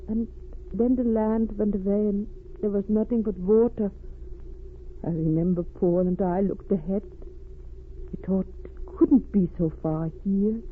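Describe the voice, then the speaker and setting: A haunted refugee wife played by a woman tells of her ordeal. Her phrasing has foreign word order, and the grief sits in every pause. It is vintage radio drama, narrow and tinny with a light layer of noise. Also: mid-range voice, natural voice. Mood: haunted, fearful. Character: distraught refugee wife haunted by a smuggler's crime. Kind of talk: old radio drama acting.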